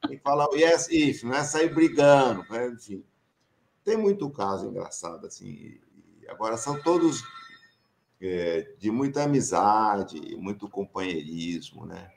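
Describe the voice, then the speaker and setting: A man's voice: lowish voice, lively intonation, measured pace, creaky, darkish tone, slight background noise. A middle-aged Brazilian man with a São Paulo countryside accent, a professor reminiscing casually, laughing often and acting out quoted dialogue. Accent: Brazilian Portuguese, São Paulo countryside. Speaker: professor and association founder.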